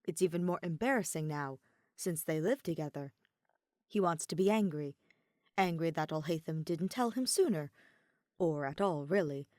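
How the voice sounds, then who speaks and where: A woman's voice: lowish voice, darkish tone, no echo, studio quality. A woman reading a story as scripted narration, expressively, with dramatic emphasis on key words. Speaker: podfic narrator reading fan fiction.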